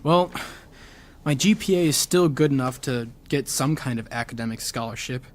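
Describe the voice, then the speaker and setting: An actor playing a frustrated but determined teenage high school athlete in an audio drama, earnest and defensive. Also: male voice, moderate pace, natural voice. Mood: dejected.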